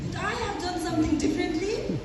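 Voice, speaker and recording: A woman's voice: very high-pitched, fairly quick, a loud crowd. A woman giving a dramatic stage reading as a distraught young victim. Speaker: student teacher performing in a readers' theatre. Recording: very echoey hall, distant mic, noisy.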